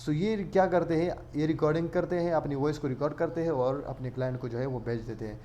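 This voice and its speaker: A man's voice: a little high, fast talker, dark tone. Man explaining a tutorial, noisy recording. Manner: explanatory, mixes English words into speech. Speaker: online tutorial host. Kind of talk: casual screen-share tutorial narration.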